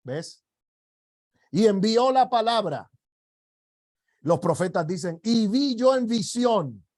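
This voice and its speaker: Man, sermon, emphatic declarations, drawn-out delivery, high voice. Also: lively intonation. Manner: slow, emphatic preaching with rising shouted declarations. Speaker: apostle teaching a ministry class.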